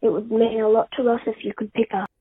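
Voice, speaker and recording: A woman leaving an earnest fan voicemail in a hesitant, halting way. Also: a little high, little pitch movement, husky. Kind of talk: plain, earnest fan message. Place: phone voicemail. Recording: echoey, tinny phone voicemail.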